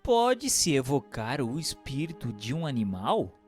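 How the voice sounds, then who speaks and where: A man's voice: medium pitch, big pitch swings, a little slow, darkish tone. Male narrator, formal and declamatory, very varied melody, reverberant sound over a light music bed. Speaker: narrator reading questions and notes. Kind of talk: scripted narration of a spiritist book.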